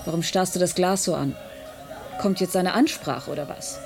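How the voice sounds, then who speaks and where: An actress in a radio play voicing an exasperated wife, sharp, mocking and impatient. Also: female voice, lowish voice, normal speed, creaky, over music. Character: exasperated, scolding, sarcastic wife. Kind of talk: German radio play acting.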